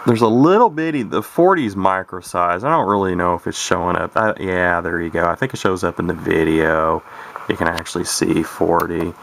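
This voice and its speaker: A man's voice: low voice, normal speed, gravelly, a bit bright. A man giving a casual, unscripted demonstration as a radio hobbyist, rambling and hesitant in a folksy way. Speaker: vintage radio hobbyist presenting a video. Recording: noisy home video, radio static. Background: noise and CB radio chatter.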